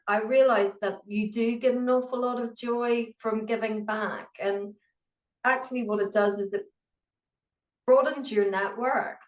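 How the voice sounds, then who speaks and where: A middle-aged woman with a Northern Irish accent, a guest pharmacist chatting in an interview, self-deprecating and full of fillers. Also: mid-range voice. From Northern Ireland. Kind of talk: conversational interview answers. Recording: roomy, distant, dull-sounding remote audio.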